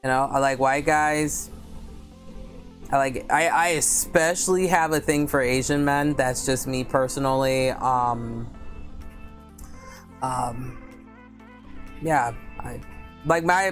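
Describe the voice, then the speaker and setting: A woman with a deep voice hosting a casual book livestream, chatting candidly with viewers and reading their comments aloud. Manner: speaks slowly with many fillers.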